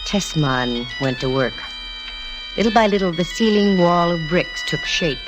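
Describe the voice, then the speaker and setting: An actress playing a wisecracking, cool-headed private detective in an old radio mystery, dry and sardonic. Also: female voice, fairly low pitch, expressive, a little slow, music in the background. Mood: narrating. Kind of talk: 1940s-50s radio mystery acting and first-person narration.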